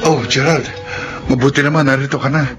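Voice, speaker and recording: An actor playing a concerned, friendly workmate in a Filipino radio drama. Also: male voice, mid-range voice, fairly even intonation, quick pace, loud music behind the voice, natural voice. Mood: concerned, relieved. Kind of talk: Tagalog radio drama acting.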